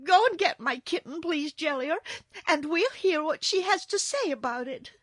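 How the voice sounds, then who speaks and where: A woman's voice: high voice, animated, moderate pace, fairly bright tone, no echo, voice pushed up. A woman voicing an earnest, emotional little girl in a storybook, anxious, pleading and scolding. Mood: anxious, distressed. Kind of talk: storybook character voice.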